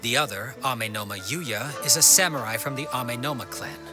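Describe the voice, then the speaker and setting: A man's voice: medium pitch, a little fast, close to an everyday voice. A man voicing a courteous, obliging townsman in a video game, talking politely and expressively. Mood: informative. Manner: polite, expressive, conversational, flattering. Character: obliging, well-informed, courteous man of the town. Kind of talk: scripted video game dialogue. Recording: game music behind the voice. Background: background music.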